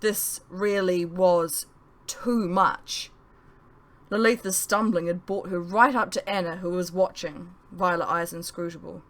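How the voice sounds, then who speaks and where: A woman's voice: fairly low pitch, steady tone, measured pace. A woman reading her own novel aloud in a dramatic audiobook narration style.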